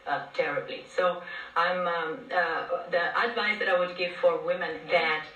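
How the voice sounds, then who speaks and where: A woman from Brazil, a podcast guest talking in a conversational, halting way with many fillers and restarts. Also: lowish voice, steady tone, pushed and bright, muffled recording. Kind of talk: conversational interview. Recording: echoey room, distant from mic.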